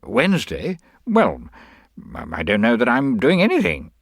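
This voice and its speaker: A man's voice: mid-range voice, wildly swooping pitch, unhurried, breathy edge, no room sound, studio quality, voice pushed up, heavily acted. An actor playing a stingy, self-important rich young businessman with clipped, pompous upper-class talk. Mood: puzzled.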